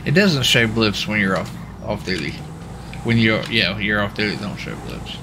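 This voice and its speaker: A man's voice: lowish voice, expressive, moderate pace. Folksy man over vehicle noise. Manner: folksy, rambling, repeats himself. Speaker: live streamer playing a role-play game. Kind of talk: casual chat with viewers and players. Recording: clear background noise, vehicle sounds.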